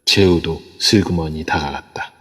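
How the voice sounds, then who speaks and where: A man narrating an amateur audio drama in a steady storytelling manner. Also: a bass voice, normal speed. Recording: long echoey reverb.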